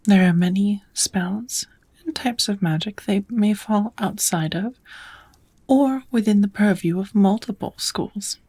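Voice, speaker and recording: A woman voicing a kind, knowledgeable witch who gently teaches magic in a measured, whispered ASMR roleplay. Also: medium pitch. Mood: kind, patient. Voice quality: soft, breathy whispering.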